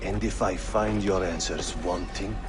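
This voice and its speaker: A man's voice: low voice, fairly even intonation, unhurried. An actor playing a proud, resentful male assassin, an accusing rival who speaks with indignant, theatrical emphasis in a video game cutscene. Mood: suspicious. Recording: loud music under the dialogue.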